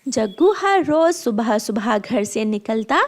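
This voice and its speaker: Woman narrator, cartoon storytelling, high voice, very animated intonation, scripted. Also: slow pace.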